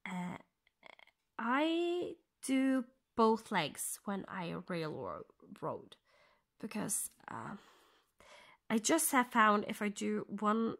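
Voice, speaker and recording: A woman from Norway with a Norwegian accent, speaking English as a second language, explaining her cross-stitching in a soft, half-whispered way, pausing as she thinks aloud. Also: mid-range voice, very animated. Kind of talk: casual stitch-along tutorial.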